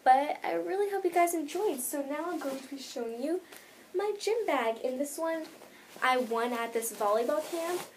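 Teenage girl, American accent, fairly high voice, casual rambling vlog chat, slightly noisy bedroom recording. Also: lively intonation, normal speed. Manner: chatty, rambling, filler-heavy with frequent "like". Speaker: vlogger. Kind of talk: casual chat to camera, showing bag contents. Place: bedroom, indoors.

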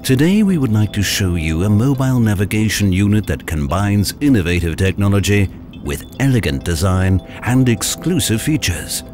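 A male narrator presents scripted promotional copy with lively, varied intonation. His voice is fairly low, with faint music underneath. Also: moderate pace. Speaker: voice-over narrator.

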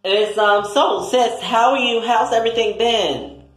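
A man's voice: high-pitched, a little slow, a bit bright, voice pushed up. A man voicing a sassy, rude young woman who swears at relatives in an improvised comedy skit. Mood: sweet. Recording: very echoey room.

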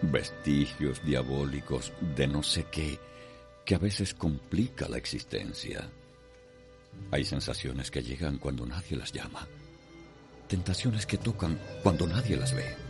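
A man with a Cuban accent narrating a radio soap in a measured, literary, dramatic style. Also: extremely low pitch, animated. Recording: music behind the voice.

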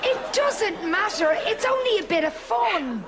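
An actress playing a patient grown-up daughter who keeps the peace in a sitcom. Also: female voice, very high-pitched, big pitch swings, a little slow, a loud crowd, full character voice. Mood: placating. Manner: placating. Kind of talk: sitcom acting. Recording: noisy studio with loud audience.

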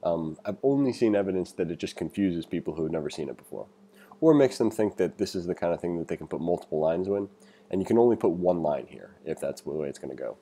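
Guy with a deep mellow voice reading thru a coding tutorial, chatty with his own takes. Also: animated, quick pace, husky. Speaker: programming tutor. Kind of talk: read-along tutorial with casual asides. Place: voice-over for a screen recording.

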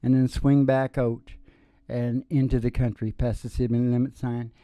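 A middle-aged man hosting with rambling, improvised storytelling in a folksy, halting way, speaking into a close stand microphone. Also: medium pitch, flat delivery, mellow, dry recording. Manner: folksy, halting, with trailing sentences. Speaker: podcast host and singing DJ. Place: small indoor stage with curtain backdrop.

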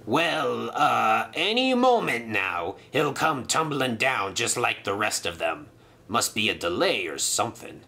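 A man voicing a smug, scheming male sailor villain who speaks smoothly and gloats. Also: mid-range voice, very animated, measured pace, sharp tone, exaggerated voice. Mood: gloating.

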